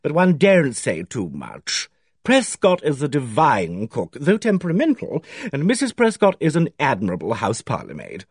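A man reading aloud, voicing an effusive, fussy older male village gossip who talks in a theatrical, drawn-out way. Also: medium pitch, wildly swooping pitch, husky, no room sound, clean studio sound, heavily acted. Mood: gushing.

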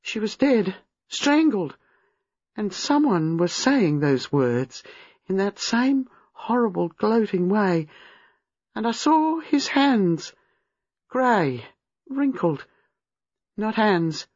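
A woman reading a storybook, playing a distraught young married woman who is frightened, halting and agitated. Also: mid-range voice, very animated, breathy edge, no echo, lo-fi sound, pitched above the performer's own voice. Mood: horrified. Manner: agitated, halting, rushing in bursts.

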